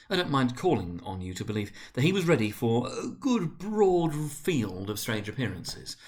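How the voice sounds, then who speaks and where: A man reading a story aloud as narrator, in a dramatic literary style with theatrical, deliberate phrasing. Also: lowish voice. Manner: theatrical, deliberate phrasing with emphatic swells and hushed drops.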